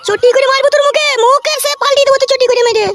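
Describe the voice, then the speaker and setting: A man's voice: very high-pitched, fairly even intonation, racing. A man voicing a foul-mouthed, quarrelsome village mother-in-law in a comedy cartoon. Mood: angry scolding. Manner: combative, quarrelsome, swearing.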